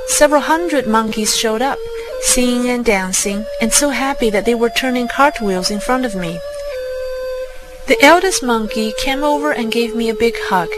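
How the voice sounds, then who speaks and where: A woman's voice: fairly high pitch, low-quality recording. A woman reading a scripted first-person fairy tale as a storybook narrator. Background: music.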